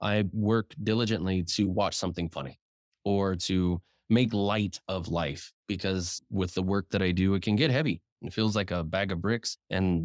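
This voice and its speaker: Casting: frank male journalist guest, low voice trained upward, casual swearing, dry close sound. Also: no room sound. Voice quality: deliberately raised speaking voice, naturally deep. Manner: frank, swears casually, repeats words when starting sentences. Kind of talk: casual interview chat.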